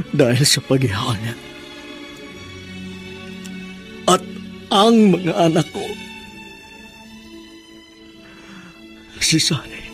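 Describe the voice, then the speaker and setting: A man playing a lonely, heartbroken older widower in a Filipino radio drama, speaking in a halting, sorrowful way. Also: high-pitched, a little mellow, full character voice. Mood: grieving, lonely. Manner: slow, halting, sorrowful delivery with repeated phrases. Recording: music under the voice.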